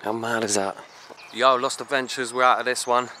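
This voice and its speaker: A young British man vlogging as he explores abandoned grounds outdoors, excitable and slangy, often hushed, recorded on a handheld camera. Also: medium pitch, moderate pace. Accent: British English. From England. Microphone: handheld action camera. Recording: noisy outdoor camera audio.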